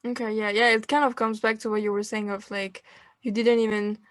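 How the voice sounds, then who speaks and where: Flat-voiced woman podcast host. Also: on the high side, brisk, no echo, clean studio sound. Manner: steady, encouraging with frequent backchannels. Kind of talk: podcast interview.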